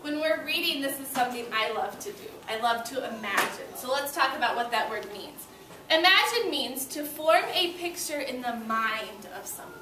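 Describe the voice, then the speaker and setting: Young female primary teacher, high voice, encouraging and instructive; distant mic with clear room noise. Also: animated, normal speed. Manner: encouraging, instructing in simple short phrases. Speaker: elementary school teacher. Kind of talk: classroom read-aloud lesson for young children. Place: school classroom, indoors. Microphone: far camera. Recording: echoey classroom, distant camera, loud room noise.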